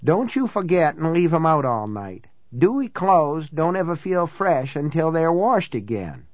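A man's voice: a little high, sing-song, a little slow, dry recording, pitched above the performer's own voice. A man voicing an older small-town housekeeper woman from an audiobook, blunt, gossipy and matter-of-fact. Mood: brisk, blunt. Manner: folksy, matter-of-fact storytelling. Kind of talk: audiobook character dialogue.

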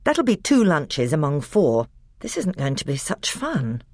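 A woman voicing a steady, practical older schoolboy who leads the others in a storybook reading, with brisk, clipped exclamations. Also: sits low, dry recording, exaggerated voice.

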